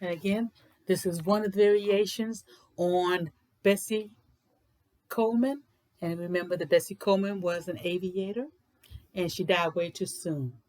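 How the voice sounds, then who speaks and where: A woman host reading aloud for children with expressive, emphatic phrasing. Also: on the low side, normal speed. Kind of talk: expressive picture book read-aloud in rhyming verse.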